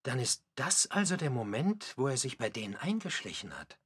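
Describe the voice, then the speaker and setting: A man narrating a scripted radio documentary drama in a husky voice. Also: mid-range voice, big pitch swings, moderate pace, fairly bright tone. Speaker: narrator and commentator.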